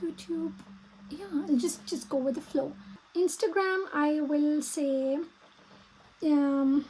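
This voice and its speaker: Pakistani lady, urdu native speaker, high voice, casually planning out loud in a vlog, stop and start. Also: fairly even intonation, a little hiss or hum, poor audio. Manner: thinking aloud, halting while typing. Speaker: vlogger and small business owner. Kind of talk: casual plan-with-me vlog talk.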